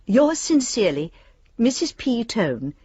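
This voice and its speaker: Courteous, officious British bank official, radio play; slightly breathy voice, a little muffled, dry sound. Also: female voice, fairly high pitch, sing-song, unhurried, warm tone, no echo, full character voice. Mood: formally courteous. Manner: formal, precise, officious. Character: prim, courteous female bank lending advisor. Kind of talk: radio drama letter reading.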